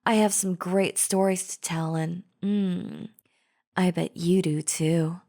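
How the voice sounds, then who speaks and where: A woman's voice: lowish voice, fairly even intonation, slow, dark tone. A woman playing a chatty female zombie in an audio roleplay, casually coaxing the listener with false friendliness and a sly, wheedling drawl. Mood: wheedling, pushy. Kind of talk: scripted audio roleplay acting, addressing the listener.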